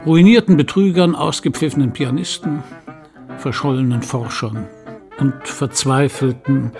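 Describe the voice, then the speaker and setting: A man playing a writer who recounts his life in a literary radio monologue, measured, dry and deliberate. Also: mid-range voice, a little mellow, soft background music, lightly acted. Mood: deadpan solemnity. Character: deadpan male writer solemnly recounting his uneventful life.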